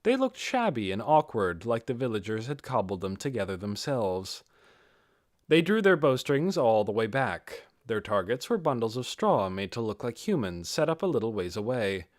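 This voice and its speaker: A man reading a scripted fantasy audiobook in an expressive, dramatic storytelling manner. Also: medium pitch, moderate pace, dry recording, studio quality. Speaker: audiobook reader.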